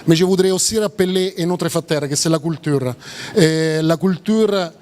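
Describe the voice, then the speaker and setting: A man with a strong Italian accent giving public remarks as a cooperation official, halting and repeating words with drawn-out pauses. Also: a little high, a little mellow.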